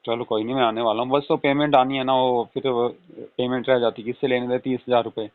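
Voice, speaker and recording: A man's voice: mid-range voice, level tone, brisk, no room sound, lightly acted. A man playing an indulgent middle-aged father, talking casually and coaxingly in a staged phone conversation. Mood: casual, explaining. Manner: coaxing, reassuring, repetitive. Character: soothing, indulgent father of a married daughter. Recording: tinny, narrow phone-call audio.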